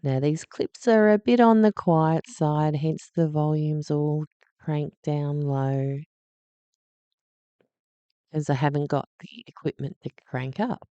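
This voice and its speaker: A woman's voice: sits low, slow pace, mellow, clear, resonant tone, dry recording, muffled recording. A woman chatting wryly as she hosts and comments on clips. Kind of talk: casual commentary vlog.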